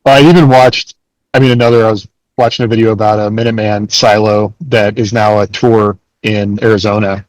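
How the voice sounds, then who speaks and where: A man with a fairly low voice hosts a podcast in relaxed, slangy conversation. His manner is laid-back and chuckly, and the sound is dry, with no room around it. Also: dry recording, low-quality recording. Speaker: podcast host. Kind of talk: casual chat.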